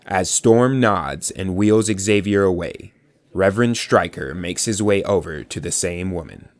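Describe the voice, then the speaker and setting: A man's voice: deep voice, unhurried. A man narrating a scripted audio drama in descriptive present-tense storytelling.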